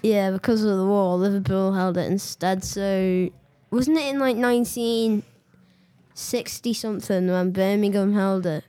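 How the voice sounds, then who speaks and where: A matter-of-fact girl with a Scouse accent chats as a guest on a homemade podcast. Her voice sits at an ordinary middle pitch. The sound is dry, with no room around it. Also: steady tone, measured pace, warm tone, clean tone, no echo. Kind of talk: casual chat among friends.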